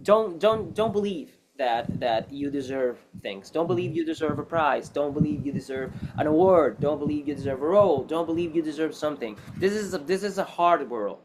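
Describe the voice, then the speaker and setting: Higher-pitched man, non-native English, rambling. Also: lively intonation, normal speed, few pauses, background noise. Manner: rambling, repetitive, emphatic advice-giving. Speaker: actor being interviewed. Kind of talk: casual interview conversation.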